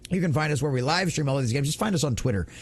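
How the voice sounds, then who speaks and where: A man's voice: a little high, lively intonation, rapid-fire, slightly rough. A man hosting an online gaming news show, giving opinionated commentary in an emphatic, theatrical, mocking way.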